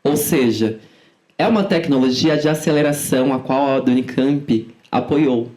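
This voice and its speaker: Young woman, somewhat low-pitched informal speaker. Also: normal speed, dark tone. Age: 20s. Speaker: podcast guest, student activist. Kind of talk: conversational interview answers.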